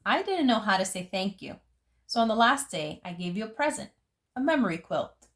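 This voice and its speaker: A woman reading a picture book aloud as a storyteller, phrasing it deliberately for young listeners. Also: medium pitch, sing-song. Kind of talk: expressive picture book narration.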